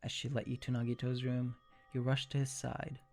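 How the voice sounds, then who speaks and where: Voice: medium pitch, little pitch movement, normal speed, background noise. A narrator reading second-person fan fiction as scripted storytelling.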